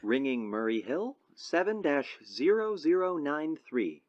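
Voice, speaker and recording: A man's voice: a little high, very animated, measured pace. A man playing a polite, formal telephone operator in a radio drama, with courteous set phrasing. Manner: formulaic, courteous. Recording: noisy, dull telephone-line sound with clicks.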